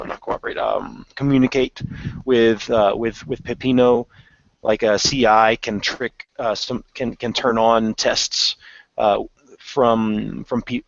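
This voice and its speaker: A man leading an internal software demo in casual meeting talk, informal and given to joking. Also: medium pitch, creaky, no room sound, muffled recording.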